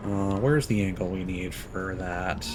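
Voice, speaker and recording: A man's voice: low-pitched, measured pace, loud music behind the voice. A man doing casual live gameplay commentary, muttering to himself in short reactions over game sound. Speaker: video game streamer.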